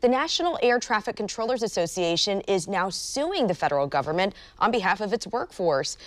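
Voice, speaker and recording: A woman's voice: mid-range voice, big pitch swings, brisk. Female TV news anchor reading an intro.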